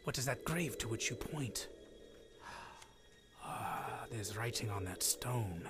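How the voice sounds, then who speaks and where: An old miser in a radio play, intensely theatrical. His pitch swings widely and he takes his time over each phrase, leaning into dramatic pauses. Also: expressive, over loud music. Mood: fearful, sombre.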